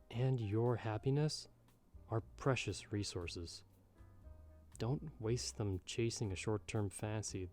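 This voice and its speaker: A man playing a jaded, sardonic bartender in an audio roleplay, speaking in a measured, slightly formal, wry way over background music. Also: fairly low pitch, warm tone. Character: jaded, sardonic male bartender giving frank, fatherly advice. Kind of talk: ASMR roleplay, scripted monologue to the listener.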